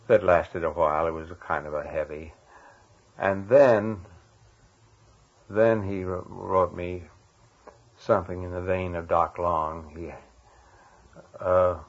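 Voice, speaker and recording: Older guy w a deep voice telling old radio stories, stops and restarts, kinda dull lo-fi tape. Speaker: retired radio actor interviewed as guest. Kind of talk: casual reminiscing interview. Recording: dull lo-fi interview recording.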